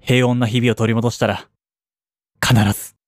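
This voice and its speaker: A man playing a calm, resolute space launch officer in a radio drama, speaking in a measured, formal and reassuring way. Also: mid-range voice, lightly acted. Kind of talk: Japanese radio drama acting. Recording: echoey room, music behind.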